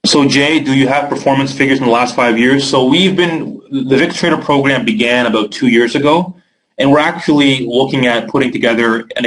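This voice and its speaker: A man's voice: mid-range voice, a bit bright, rough recording. A young man from Canada with a Canadian accent hosting a live trading webinar, reading out viewer questions by name and answering them casually with filler words.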